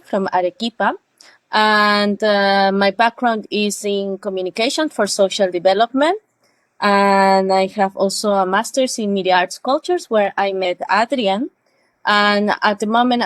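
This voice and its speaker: A woman's voice: mid-range voice, no echo. A woman in her thirties from Peru with a Peruvian Spanish accent, speaking English carefully with hesitations as a guest in a podcast interview. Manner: careful non-native phrasing, hesitant. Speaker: guest, studio co-founder.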